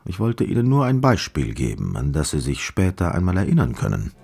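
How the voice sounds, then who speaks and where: Older professor, radio drama, very animated intonation, raspy, pompous, evasive, patronizing, hesitant lecturing. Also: male voice, low-pitched, a little fast, exaggerated voice. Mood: condescending. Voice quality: husky, gravelly. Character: patronizing, evasive older male mathematics professor around 1900. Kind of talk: radio play acting.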